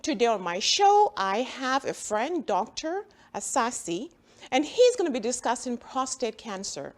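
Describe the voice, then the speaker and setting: A woman from Jamaica with a Jamaican accent, a physician hosting a health talk show, friendly and upbeat and quick to laugh. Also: fairly high pitch, big pitch swings. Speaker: host, physician.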